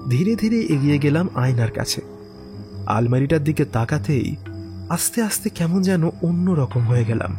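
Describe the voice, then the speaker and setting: A man narrating a first-person horror story in an expressive, theatrical way, with musing asides and exclamations. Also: medium pitch. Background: background music.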